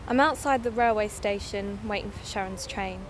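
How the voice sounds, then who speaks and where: A woman's voice: medium pitch, normal speed, very noisy, natural voice. An actor playing an earnest, polite woman who investigates mysteries for a podcast, in scripted audio drama. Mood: measured. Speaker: podcast host and narrator.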